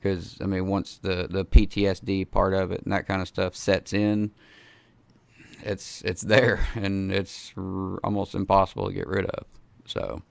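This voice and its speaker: Gravelly hoarse man hosting a video-call show. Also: low voice, flat delivery, a little slow, no echo. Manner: laid-back, slangy, earnest. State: hoarse from a cold. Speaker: host. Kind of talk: casual video-call interview. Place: home studio with foam panels. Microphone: studio mic in frame.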